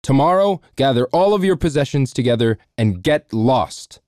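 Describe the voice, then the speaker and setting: A man voicing an angry lord of the manor who yells his orders. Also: fairly low pitch, expressive, unhurried, no echo, studio quality. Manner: yelling, commanding.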